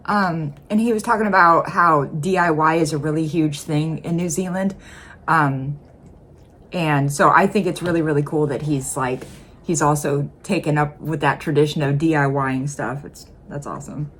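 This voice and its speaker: Woman, American, reaction host, low voice, chatty, filler-heavy, light background hum. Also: normal speed, a bit bright. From United States. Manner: chatty, many ums and uhs. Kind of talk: casual reaction commentary. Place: home room.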